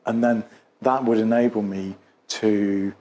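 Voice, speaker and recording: Male clinical instructor, mellow and low, questions and explains with frequent restarts, slight noise behind. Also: steady tone, unhurried. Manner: hesitant, often repeats words and rephrases. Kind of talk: case history questioning and explanatory teaching.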